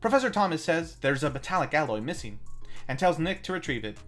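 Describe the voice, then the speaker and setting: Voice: mid-range voice, very animated, fast. A film review host narrating a scripted plot recap with tongue-in-cheek asides. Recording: music under the voice.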